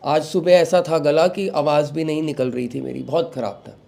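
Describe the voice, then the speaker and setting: A young man, recovering from a sore throat, hosting a devotional talk and giving calm advice to followers while mixing in English phrases. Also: a little high, moderate pace, gravelly. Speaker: satsang host.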